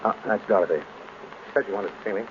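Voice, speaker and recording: Guy playing a sharp dry-witted detective in an old radio show, brisk questions, muffled hissy sound. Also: fairly low pitch, close to an everyday voice. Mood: brisk, wry. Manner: brisk, probing, wry. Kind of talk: 1930s radio acting. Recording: dull, noisy old radio recording.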